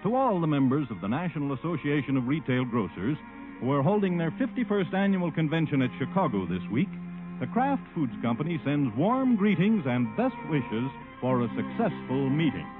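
Tinny, animated American radio announcer. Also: male voice, a little high, moderate pace. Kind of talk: formal scripted 1950s radio announcement. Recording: tinny old radio recording, faint music.

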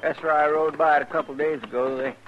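A folksy, slightly nervous frontier sidekick, acted by a man with a rural American twang and a highish voice. He is deferential, in radio western style, under loud noise on an old recording. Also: steady tone, fast, dull audio, lightly acted. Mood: folksy, observant. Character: folksy, uneasy, loyal marshal's sidekick.

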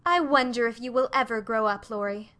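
A woman voices a prim young lady from a storybook in a high, very bright tone. She sounds proper and matronly. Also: fairly quick, natural voice. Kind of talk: audiobook character dialogue.

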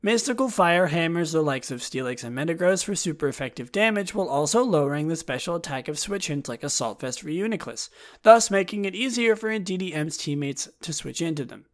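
Hoarse man with a raised pitch. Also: expressive, a little fast. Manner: rambling, with frequent restarts and self-deprecating asides. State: hoarse, raspy throat from talking a lot. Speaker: podcast host. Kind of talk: casual solo commentary, partly reading a write-up aloud.

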